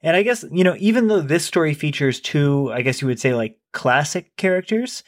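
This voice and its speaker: A man's voice: fairly high pitch, lively intonation, moderate pace, dry recording, clean studio sound. A man co-hosting a book podcast, chatting casually with many fillers and restarts. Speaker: podcast co-host.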